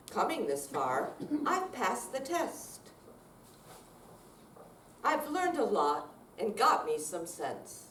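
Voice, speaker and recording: Low-voiced older woman, lively and echoey. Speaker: amateur readers theater performer. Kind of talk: dramatic verse reading on stage. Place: senior center room, live reading. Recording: echoey room, distant mic, faint noise.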